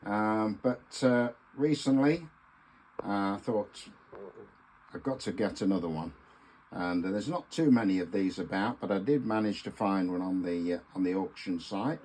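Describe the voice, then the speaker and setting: A man in his sixties talks through a hands-on hobby demonstration. His voice sits a little low and he speaks very slowly. He is halting and deliberate, often pausing to find the next word. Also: darkish tone, a little hiss or hum, poor audio. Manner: halting, deliberate, with frequent pauses and hesitations. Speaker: amateur radio hobbyist presenting a video. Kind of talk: informal hands-on demonstration talk.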